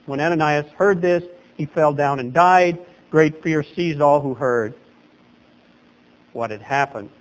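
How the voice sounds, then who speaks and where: Middle-aged pastor preaching in a small chapel, kinda high voice, gets real animated, jumps up loud for emphasis. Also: male voice, a little slow, rough recording. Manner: animated preaching, gesturing at a lectern, voice leaping up for emphasis. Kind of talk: sermon.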